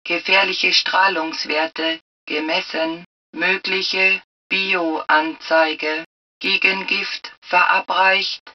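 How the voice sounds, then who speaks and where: A calm, low-voiced suit computer delivers automated game announcements very slowly. The phrasing is clipped, impersonal and measured. The sound is dull and somewhat removed from the microphone. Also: female voice, far from the mic.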